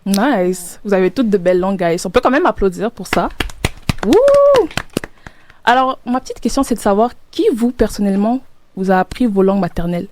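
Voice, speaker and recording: A woman's voice: medium pitch, very animated, moderate pace, darkish tone, slight background noise. A young woman from Cameroon hosting a casual podcast panel, upbeat and laughing often as she hunts for words. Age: young adult. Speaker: podcast host.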